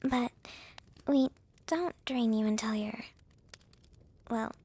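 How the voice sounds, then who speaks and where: A woman playing a shy, gentle young vampire with a crush in a whispered ASMR roleplay, speaking softly and haltingly with a breathy voice. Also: on the high side. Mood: reassuring, shy. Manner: hesitant, halting, soft-spoken.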